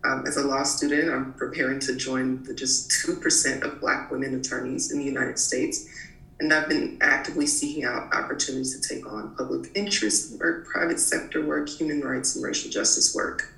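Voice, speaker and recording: A woman in her twenties, a law student co-hosting a podcast, speaking in a crisp, formal way. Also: rumbling low, fairly even intonation, normal speed, sharp tone. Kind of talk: podcast discussion. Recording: distant mic in an echoey room.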